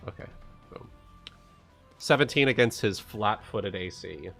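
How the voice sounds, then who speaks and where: A man's voice: fairly low pitch, expressive, unhurried, creaky, fairly bright tone, soft background music. A man casually playing a tabletop roleplaying game, working out his rolls and numbers aloud.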